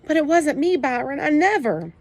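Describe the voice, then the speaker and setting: A heartbroken young boy , as a woman reader puts him on, cries through every line, his voice pitched high and choked. His words tumble out pleading and trembling. It is read as a storybook audiobook. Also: big pitch swings, normal speed, a little mellow, no echo. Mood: tearful pleading. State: crying. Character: tearful ten-year-old boy, sensitive and ashamed.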